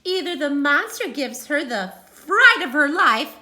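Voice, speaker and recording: A woman reading a picture book aloud like a teacher to children, theatrical and emphatic, with dramatic pauses and sudden swells. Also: sits high, very animated, heavy background noise.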